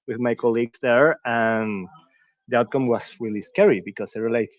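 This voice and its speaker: A man's voice: medium pitch, moderate pace, no echo. Man talking earnestly, dry dull sound. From Venezuela. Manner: earnest, searching for words, frequent restarts. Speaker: podcast guest. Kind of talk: reflective conversational talk.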